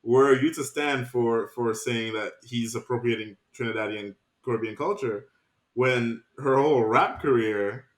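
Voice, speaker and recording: Brief: halting male co-host, voice echoing in the room. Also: mid-range voice. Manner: hesitant, searching for words. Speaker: podcast co-host. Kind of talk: casual discussion. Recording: roomy echo.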